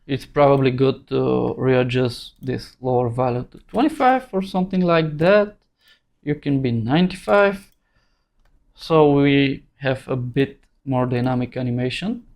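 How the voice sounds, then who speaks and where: Slow, halting male tutorial instructor. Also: medium pitch, creaky, a little mellow. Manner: halting, thinking aloud in short phrases. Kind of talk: unscripted screen-recorded tutorial narration.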